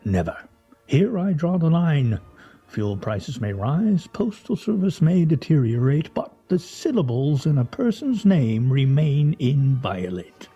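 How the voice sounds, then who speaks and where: An actor playing a pedantic, grumbling husband in a comedic radio play, with pompous, wordy, fussily articulate delivery. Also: male voice, on the high side, sing-song, a little slow, dark tone, slightly rough, dull audio, faint music behind, heavily acted. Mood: indignant.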